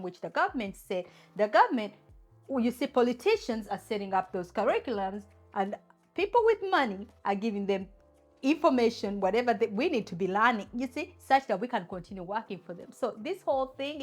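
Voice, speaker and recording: A woman from Uganda hosts her own channel and speaks straight to camera, making emphatic points and asking many rhetorical questions. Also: medium pitch. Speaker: channel host. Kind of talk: opinion talk to camera.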